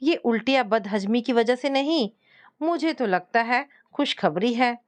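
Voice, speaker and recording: A woman voicing an affectionate, experienced older mother in a narrated family story, gentle and reassuring. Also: fairly high pitch, animated, unhurried. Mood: happy, affectionate.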